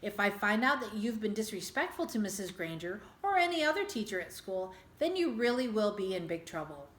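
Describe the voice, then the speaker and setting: A woman's voice: medium pitch, sing-song, a little fast, lightly acted. A woman reader voicing a firm, reasonable, protective mother in a children's storybook, with a direct manner. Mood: stern. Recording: echoey room.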